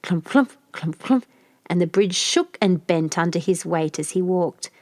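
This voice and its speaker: A woman reading a scripted children's fairy tale as an expressive storyteller. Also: on the low side, a little slow, no room sound, studio quality.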